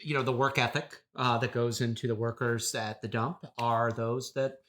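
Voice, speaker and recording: A woman's voice: rumbling low, level tone, normal speed. A woman playing an airy, self-righteous, oblivious wellness influencer as a podcast guest, talking in a preachy, self-satisfied way. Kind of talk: improvised mock interview, casual chat.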